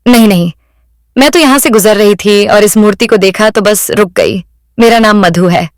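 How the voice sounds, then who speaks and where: A woman voicing a kind, encouraging lady sculptor in a cartoon moral story, speaking in a gentle, earnest way. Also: medium pitch, normal speed, dry recording, studio quality, lightly acted. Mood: sincere, curious.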